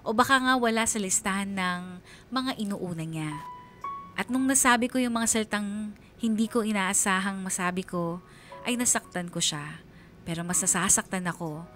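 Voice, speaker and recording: A woman's voice: medium pitch, fairly bright tone, close to an everyday voice. Heartbroken young Filipino woman confiding as acted narration. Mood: sorrowful, weary. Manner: confiding, pained, emotional. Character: heartbroken young daughter confessing anger and hurt. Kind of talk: first-person radio drama narration.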